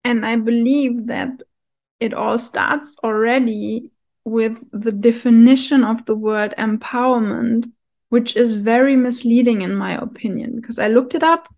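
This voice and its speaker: Woman, kinda high voice, talks real slow and thoughtful, little soft laughs. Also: steady tone, gravelly. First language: German. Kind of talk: reflective solo talk.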